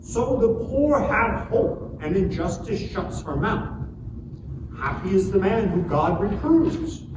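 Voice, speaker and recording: A man's voice: high-pitched, very animated, slow pace, recorded from a distance, heavily acted. A man playing an earnest, moralizing friend who admonishes a sufferer in a declamatory, preachy dramatic scripture reading. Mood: exalted. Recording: echoey hall from afar, noisy.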